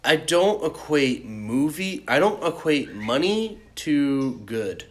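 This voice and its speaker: A man's voice: on the high side, sing-song, slow pace. A man co-hosting a podcast, chatting casually with friends about entertainment in a profane, opinionated way. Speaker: podcast co-host. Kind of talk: casual movie discussion among friends.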